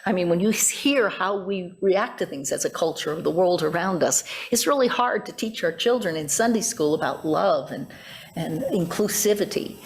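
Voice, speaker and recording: A woman's voice: on the low side, expressive, moderate pace, a little hiss or hum. A woman pastor preaching a reflective, explanatory sermon, moving between notes and spoken asides and posing rhetorical questions. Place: church service.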